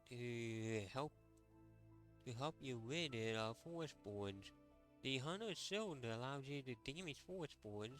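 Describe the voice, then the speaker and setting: A man reading game dialogue and text aloud in a gameplay video, halting and rereading phrases as he goes. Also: mid-range voice, fairly bright tone. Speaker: game streamer.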